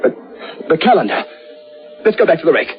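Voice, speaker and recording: An actor playing an anxious, obsessive British gentleman in a radio horror drama, halting and urgent in his speech. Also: fairly high pitch, very animated. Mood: haunted, urgent. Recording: dull radio recording, faint music.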